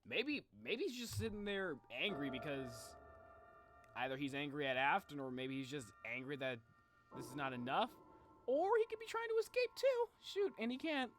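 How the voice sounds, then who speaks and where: A man's voice: fairly high pitch, big pitch swings, normal speed, a bit bright, soft background music. A young man giving casual livestream reaction commentary, rambling and thinking aloud as he speculates. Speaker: live streamer reacting to game videos.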